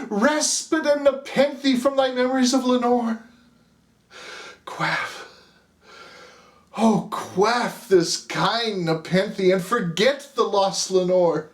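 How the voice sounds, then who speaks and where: A man's voice: very high-pitched, drawn-out words, long pauses, roomy sound, far from the mic. A man giving a dramatized recitation of gothic poetry as a grief-stricken narrator, in an intense, theatrical manner. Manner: intense, theatrical, swinging from hushed to anguished shrieks.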